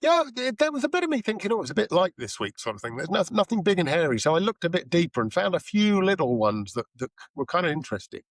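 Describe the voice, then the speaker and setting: A hoarse man with a cold co-hosting a tech podcast, chatting in a rambling, self-deprecating way. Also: high-pitched, big pitch swings, fast, few pauses, no echo. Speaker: podcast co-host. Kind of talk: casual podcast chat.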